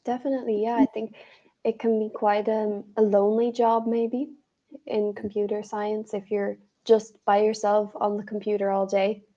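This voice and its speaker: A woman's voice: medium pitch, flat delivery, warm tone, lo-fi sound. A young woman with an Irish accent, chatting as an online English tutor with friendly, encouraging replies. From Ireland. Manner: friendly, encouraging, quick affirmations. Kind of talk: casual video-call conversation lesson.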